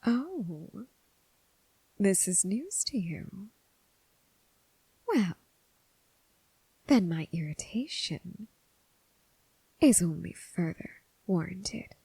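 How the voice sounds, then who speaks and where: Breathy, whispering seductive demon woman, voiced by an actress. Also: medium pitch, very animated, warm tone, no room sound, full character voice, poor audio. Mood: irritated, threatening. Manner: slow, teasing, formal phrasing with long pauses. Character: seductive, menacing female crossroads demon toying with prey. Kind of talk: ASMR roleplay, whispered monologue.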